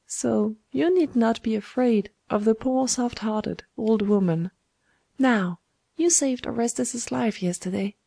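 A woman's voice: fairly high pitch, slow, creaky, dark tone, no room sound, heavily acted. A woman voicing a sly, domineering old woman schemer in a storybook, with a taunting, wheedling manner. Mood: wheedling, gloating. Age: older.

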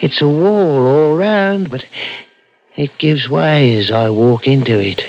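An actor playing a brooding, deranged husband and murderer in a 1960s radio suspense drama, haunted and menacing. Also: male voice, fairly high pitch, takes it slowly, background noise. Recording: dull old radio broadcast, music behind.

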